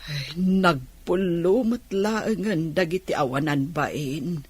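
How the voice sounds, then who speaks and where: A woman playing an elderly, injured village aunt with a Filipino accent and a husky voice, in an Ilocano radio drama. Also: low voice, slow pace, no echo, exaggerated voice. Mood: grateful.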